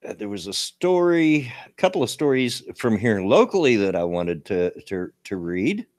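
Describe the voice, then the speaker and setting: A man in his late fifties with a gravelly voice, hosting a casual livestream talk show and reading local news in a folksy, rambling way. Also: fairly low pitch, big pitch swings, moderate pace, darkish tone, no echo. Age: 50s. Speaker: show host.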